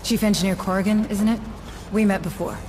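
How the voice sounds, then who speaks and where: An actress playing a composed, determined deputy administrator in video game dialogue, speaking in a measured, formal, dry way. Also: female voice, mid-range voice, steady tone, a little mellow, slight background noise, lightly acted. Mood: businesslike.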